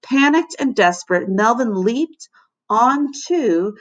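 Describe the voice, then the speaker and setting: Lady teacher reading a kids book, animated and dramatic, voice goes up and down. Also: fairly high pitch, slow pace. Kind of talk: expressive children's storybook narration.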